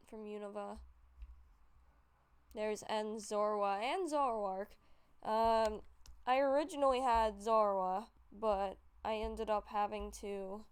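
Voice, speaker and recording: Woman, stuffy-nosed, casual rambling game commentary with lots of fillers; smooth tone, mid pitch. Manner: rambling, frequent fillers and self-corrections. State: stuffy nose. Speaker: YouTuber showing a game collection. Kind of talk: casual commentary over gameplay.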